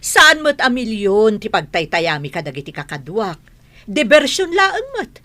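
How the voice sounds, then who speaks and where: Defiant adult daughter, Ilocano radio drama actress: defensive, argumentative, mid-pitched with very lively intonation. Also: female voice, unhurried. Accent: Ilocano Filipino. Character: defiant grown daughter fond of mahjong gambling.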